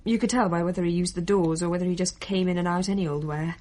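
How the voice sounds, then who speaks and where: An actress playing a frank young English daughter, recounting events conversationally in a radio play. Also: female voice, on the low side, fairly even intonation, fast talker, mellow, natural voice. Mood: weary. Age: young adult.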